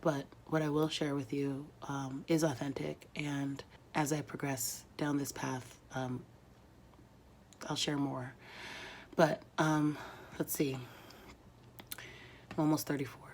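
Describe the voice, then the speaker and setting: Woman, thirties, very low and monotone, congested and whispery, hesitant reflective vlog talk. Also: slight background noise. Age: 30s. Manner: halting, reflective, many pauses and fillers. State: congested, losing her voice. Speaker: vlogger. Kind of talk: casual confessional vlog.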